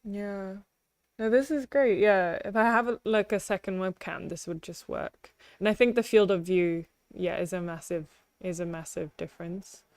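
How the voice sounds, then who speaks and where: A woman hosting a casual Q&A on a video call, encouraging and a little halting. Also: medium pitch, a little mellow, dry recording. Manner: encouraging, hesitant restarts. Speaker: host. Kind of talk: casual Q&A chat.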